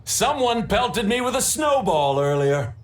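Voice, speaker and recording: A grudging villager from a cozy game. He grumbles very slowly in a slightly high male register. His pitch moves around a lot. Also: big pitch swings, a little hiss or hum, exaggerated voice. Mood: grumbling, vengeful. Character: grudging male villager vowing revenge on pranksters. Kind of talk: video game character acting.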